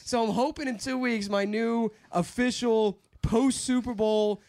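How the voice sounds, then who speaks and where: A man hosting a casual podcast, telling a long story in a rambling, animated way. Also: a little slow. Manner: rambling, animated storyteller who breaks into a squeaky put-on voice. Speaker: podcast host.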